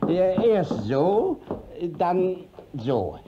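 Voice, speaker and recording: Brief: blustering, evasive country judge, drawn-out delivery, dull vintage radio-play sound. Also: male voice, high-pitched, very animated, noisy background, full character voice. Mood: flustered, evasive. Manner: hesitant, evasive, stammering with fillers. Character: shifty, blustering village judge covering up his misdeeds. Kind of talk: classic German radio play acting. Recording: dull old 1950s radio recording.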